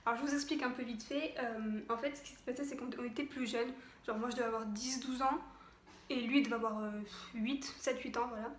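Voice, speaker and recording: A woman's voice: fairly high pitch, steady tone, fast, reverberant, slight background noise. A young woman vlogging to camera at home, chatting casually with many hesitations and little laughs. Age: young adult. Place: home room with television on behind.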